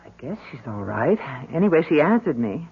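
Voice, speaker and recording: A husky middle-aged mother in an old radio play, anxious and hesitant as she tries to smooth things over. Her pitch swings very widely, and the recording is dull and lo-fi. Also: sing-song, fairly quick, heavily acted. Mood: uneasy, resigned. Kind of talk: 1970s radio drama acting.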